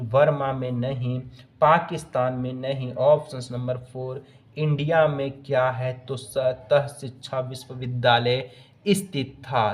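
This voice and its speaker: A man teaching exam questions, working through the answer options aloud and mixing in English phrases. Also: medium pitch. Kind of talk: explaining multiple-choice answers.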